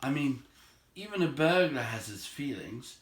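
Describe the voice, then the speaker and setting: A man's voice: medium pitch, sing-song, a little slow, echoey room, voice pushed up. A man voicing a humble, polite, easily flustered hobbit in a storybook, with a hesitant manner. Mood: nervous, bashful. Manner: hesitant, stammering. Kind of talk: storybook character voice.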